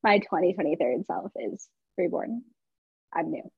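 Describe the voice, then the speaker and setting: A young woman from Georgia, co-hosting a podcast in a bubbly, excitable casual chat. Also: fairly low pitch, a little slow, vocal fry, slightly rough, dry recording. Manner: bubbly, excitable, talks with lots of fillers. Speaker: podcast co-host. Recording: dull lo-fi sound.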